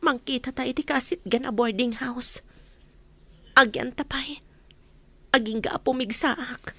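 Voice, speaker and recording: A woman's voice: high voice, unhurried, bright, forceful tone, slightly rough, no room sound, full character voice. An actress playing a suffering young mother, plaintive and pleading, in a radio drama. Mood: weary, resolved. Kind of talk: Filipino radio drama acting.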